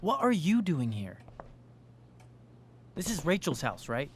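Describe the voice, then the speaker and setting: An actor voicing a jealous, worried teenage boy in a video game, pleading and accusing in an emphatic, drawn-out way. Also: on the high side, big pitch swings, slight background noise. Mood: anxious, pleading.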